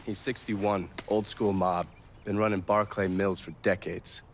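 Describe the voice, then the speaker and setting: An actor playing a seasoned, cynical war-veteran operative in a video game, with an American accent and a wry, blunt manner. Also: male voice, low-pitched, flat delivery, measured pace, a little hiss or hum. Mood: businesslike, cynical. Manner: wry, blunt, matter-of-fact. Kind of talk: cinematic video game drama.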